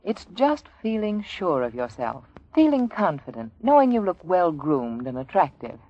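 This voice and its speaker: A woman's voice: lowish voice, very animated, moderate pace. A woman delivering a scripted 1940s radio commercial as the announcer. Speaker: advertisement announcer. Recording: dull, dry 1940s radio broadcast.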